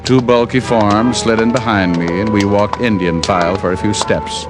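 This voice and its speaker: Music plays behind a man acting as a hard-boiled private eye with a low voice. He is cynical and dryly witty, in the manner of old-time radio detective drama. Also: steady tone, normal speed, lightly acted. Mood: wry narration. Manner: wry, dry wisecracking. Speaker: lead actor and narrator.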